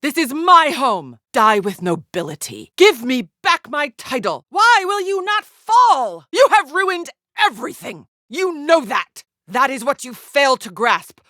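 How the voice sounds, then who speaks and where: A woman's voice: a bass voice, lively intonation, normal speed, darkish tone, no room sound, clean studio sound. An actress playing a proud, disdainful alien arena champion in a video game, a cold warrior woman with stiff, formal, haughty diction. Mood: fierce, battle fury. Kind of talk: scripted video game character lines.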